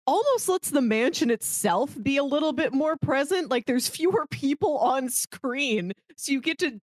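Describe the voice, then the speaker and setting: A woman co-hosting a podcast in a casual film discussion, chatty and opinionated in an animated way. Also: sits high, moderate pace, no room sound. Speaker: podcast co-host.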